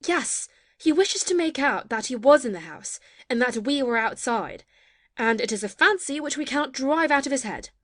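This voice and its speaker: A woman's voice: sits high, lively intonation, moderate pace, a bit bright, no echo, studio quality. An actress playing a sly maidservant in a stage comedy, mock-pitying and reproachful as she backs her mistress. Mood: mock outrage. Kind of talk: audio play of classic stage comedy.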